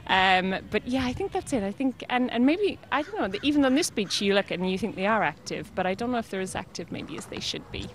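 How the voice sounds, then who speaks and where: A woman's voice: medium pitch, expressive, fast, few pauses, slight background noise. A woman giving casual, unscripted interview answers in a tentative, self-qualifying way. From Ireland or UK.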